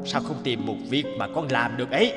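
A man's voice: mid-range voice, a little fast, long reverb tail, loud music behind the voice, natural voice. A man voicing a stern, exasperated middle-aged father in a cartoon, talking in a firm, scolding way. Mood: weary, firm.

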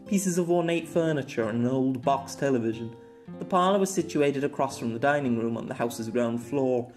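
A man's voice: medium pitch, a little mellow. A man reading scripted story narration as an amateur audiobook narrator.

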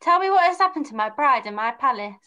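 Woman as very high, urgent pantomime boy hero. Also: expressive, fast talker, pushed and bright, highs cut off. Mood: distraught. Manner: melodramatic, urgent. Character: distraught young male pantomime hero, melodramatic. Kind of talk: script read-through on video call. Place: room at home, video call.

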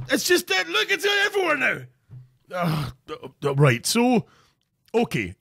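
A man's voice: high-pitched, no echo, clean studio sound. A Scottish man hosting a crude comic cooking show, narrating recipes with jokes. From Scotland. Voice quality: crude jokey, animated delivery. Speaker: comedy cooking show host.

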